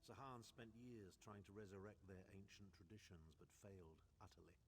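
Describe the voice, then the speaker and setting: A man's voice: lowish voice, fairly quick, a little hiss or hum, lightly acted. An actor playing a haughty, sardonic swordsman and politician in a video game, speaking in a wry, pompous, formal way. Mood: wry, smug. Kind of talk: video game character dialogue.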